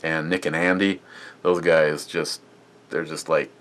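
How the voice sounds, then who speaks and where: A man's voice: rumbling low, flat delivery, unhurried, a bit bright. A man casually reviewing a beer on his vlog, rambling and chatty with lots of fillers and restarts.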